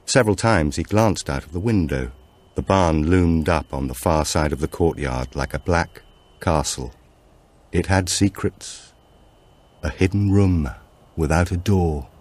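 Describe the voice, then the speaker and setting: A man narrating a suspense thriller audiobook in a measured, dramatic manner. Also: deep voice, darkish tone.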